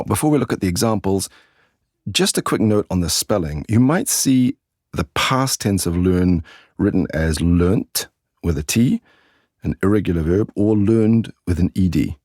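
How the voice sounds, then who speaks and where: A middle-aged man with an English accent teaches a podcast lesson in a very low, slightly husky voice. He talks clearly and deliberately, slowing down on key words and example sentences. The sound is close and dry. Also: expressive, a little mellow, dry recording. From England.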